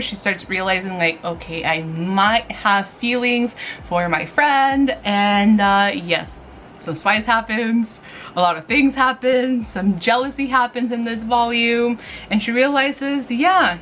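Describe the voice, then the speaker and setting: A woman's voice: medium pitch, fairly bright tone. Chatty, gushing woman with background noise. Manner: gushing, giggly fan talk with slangy asides. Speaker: YouTube host giving manga recommendations. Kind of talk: casual, chatty review to camera.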